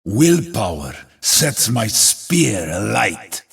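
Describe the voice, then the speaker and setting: A man voicing a grim, resolute warrior hero in a video game, raspy and gravelly, making weighty, defiant pronouncements. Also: lowish voice, sing-song, drawn-out words, a bit bright, full character voice. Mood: solemn, defiant.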